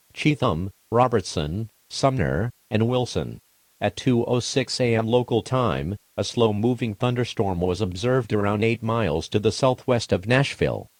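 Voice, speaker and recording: Casting: man playing impersonal emergency broadcast announcer, lowish voice, dry close sound, measured formal reading. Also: darkish tone, no echo, close to an everyday voice.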